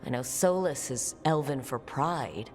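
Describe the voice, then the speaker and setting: A heroic adventurer in a fantasy game, wry and plainspoken. Her voice sits low for a woman and sounds casual, direct and questioning. Music is clearly heard behind her. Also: animated, measured pace, full character voice. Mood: inquiring. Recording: studio voice over game music. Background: background music.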